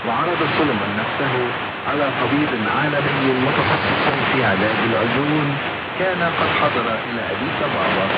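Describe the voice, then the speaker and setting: A man reads a news item at a racing pace, barely pausing. His voice is dull and muffled on a shortwave signal swamped by loud noise. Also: mid-range voice. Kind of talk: radio news reading.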